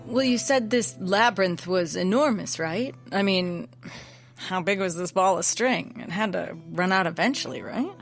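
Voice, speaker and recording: A woman's voice: mid-range voice, normal speed, lightly acted. Woman playing a skeptical gal, dry n casual, questions everything, natural audio drama chat with music under. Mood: questioning.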